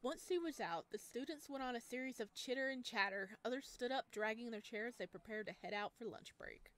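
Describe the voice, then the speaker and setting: A woman's voice: a little high, a little fast, fairly bright tone. Woman streamer reading a visual novel aloud. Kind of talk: reading game text aloud with casual commentary. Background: game music.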